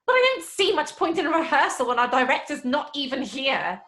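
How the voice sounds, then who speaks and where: High-voiced, sharp-tongued sceptical woman. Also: very animated, brisk, soft background music, heavily acted. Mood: irritated. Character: sceptical, sharp-tongued actress in a theatre troupe. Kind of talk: horror audio drama acting.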